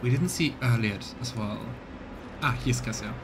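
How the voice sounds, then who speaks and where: A man doing live let's play commentary, reading game text aloud and thinking out loud for his viewers. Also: mid-range voice, moderate pace, background noise. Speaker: live streamer voicing a game playthrough.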